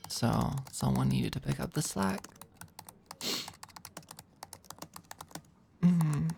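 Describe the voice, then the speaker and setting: A performer of unclear sex playing a flirty, overworked office manager in an intimate ASMR roleplay, with a halting, teasing murmur. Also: medium pitch, vocal fry, darkish tone, a little hiss or hum. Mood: tired, stressed.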